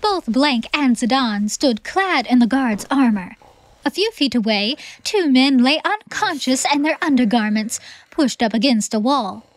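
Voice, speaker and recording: A woman's voice: high-pitched, expressive, unhurried, no room sound, low-quality recording. A woman reading scripted, dramatic story narration as the narrator of an audiobook. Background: background music.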